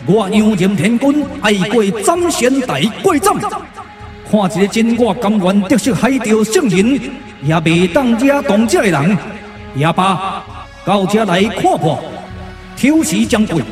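A man with a Taiwanese accent voicing a boastful, scheming immortal warlord in a theatrical puppet radio drama, declaiming in drawn-out phrases. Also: sits high, lively intonation. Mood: alarmed, then resigned. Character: menacing immortal warlord villain, boastful, scheming, easily thwarted. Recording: echoey reverb with music behind.

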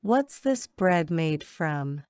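A woman reading short English phrases for a language lesson, saying each one slowly and carefully twice. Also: deep voice, big pitch swings, darkish tone, clear, resonant tone, no echo, clean studio sound. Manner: measured, careful enunciation. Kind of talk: slow repeated drill phrases.

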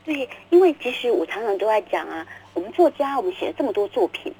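A middle-aged woman with a Taiwanese Mandarin accent talks as an interview guest. Her voice is high and a little husky, and it swings widely as she speaks. She is emphatic and often doubles her words. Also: very animated, quick pace, dark tone, low-quality recording. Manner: emphatic, repeats words and phrases, acts out readers' questions. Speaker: guest, children's book author. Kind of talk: radio interview, animated explaining.

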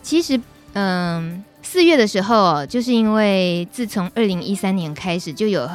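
A woman's voice: mid-range voice, animated, moderate pace, soft background music. Taiwanese woman hosting a radio chat. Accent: Taiwanese Mandarin. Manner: guides the talk, checking in with co-host. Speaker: radio talk show host. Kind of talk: conversational commentary.

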